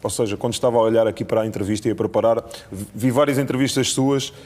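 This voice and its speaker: A man's voice: lowish voice, very fast, a little mellow. A man with a European Portuguese accent, asking conversational questions as a sports interviewer. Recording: reverberant hall sound.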